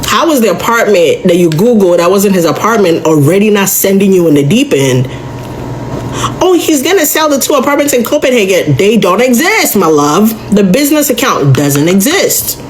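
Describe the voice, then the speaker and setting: A woman's voice: mid-range voice, big pitch swings, slight background noise, poor audio. A woman giving sarcastic commentary, firing rhetorical questions at a scam victim. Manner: sarcastic, rapid-fire questioning. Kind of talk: reaction commentary video.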